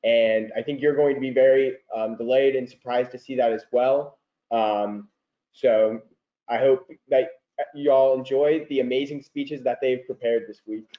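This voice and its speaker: A man's voice: medium pitch, steady tone, unhurried, reverberant, muffled recording. A young American man working as a debate coach, giving an earnest, appreciative and informal speech of thanks. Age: young adult.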